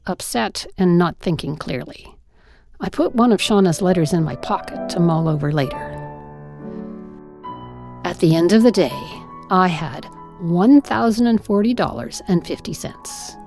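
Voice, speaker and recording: Woman storyteller with a creaky lower voice. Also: mellow, no room sound. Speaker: storyteller reading a story aloud. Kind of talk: dramatic first-person scripted narration.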